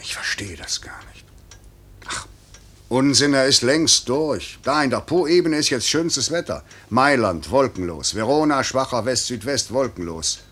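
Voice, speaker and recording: An actor playing a stern, idealistic airline director, solemn and declamatory, with a husky voice in a 1950s German radio play. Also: male voice, mid-range voice, lively intonation, measured pace, a bit bright. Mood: restrained worry. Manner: declamatory, solemn, clipped authority.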